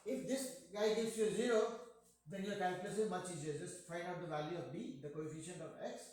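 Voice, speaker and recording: A man's voice: high-pitched, fairly quick, a little mellow. A middle-aged man with an Indian accent teaching a math class, asking his students questions and cracking jokes. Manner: joking, asks students questions. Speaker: math tutor. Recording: echoey room.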